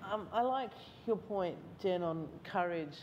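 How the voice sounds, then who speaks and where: A woman's voice: on the low side, animated, a little slow, a little mellow, highs cut off, a little hiss or hum. A woman in her forties with an Australian accent, a writing lecturer telling candid stories with self-deprecating humour on a festival panel. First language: Mauritian Creole or Italian. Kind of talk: panel discussion. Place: large hall, seated on stage.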